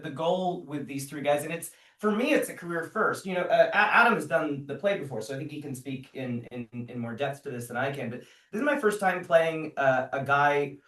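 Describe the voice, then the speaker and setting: Guy actor in an interview, thoughtful n halting, echoey room, kinda far from the mic. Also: a little high, fairly bright tone. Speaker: stage actor being interviewed. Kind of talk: casual thoughtful interview talk. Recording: echoey room, distant microphone.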